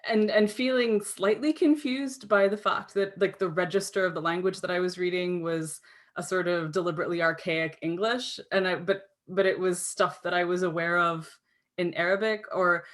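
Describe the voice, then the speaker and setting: A Canadian woman speaks in a somewhat low voice, answering interview questions. She rambles, restarts her sentences and fills the pauses with ums. She sounds tired from too little sleep. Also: steady tone. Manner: rambling, self-interrupting, many fillers and restarts. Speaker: guest author.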